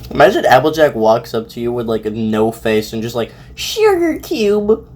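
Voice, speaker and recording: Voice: medium pitch, very animated, normal speed, darkish tone, slight background noise. Someone giving casual, exclamatory reaction commentary as an online video host, often repeating words for emphasis.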